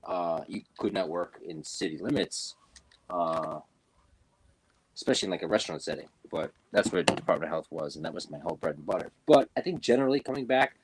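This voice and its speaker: A man's voice: low voice, lively intonation, brisk, slight background noise, rough recording. A young man chatting casually as a guest, rambling with fillers and restarts. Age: young adult. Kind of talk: casual chat. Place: New York.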